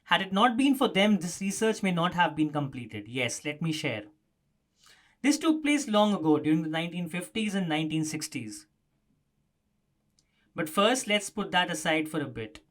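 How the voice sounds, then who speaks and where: A man's voice: fairly high pitch, big pitch swings, moderate pace, a bit bright. A man presenting a scripted explanatory news story as a narrator.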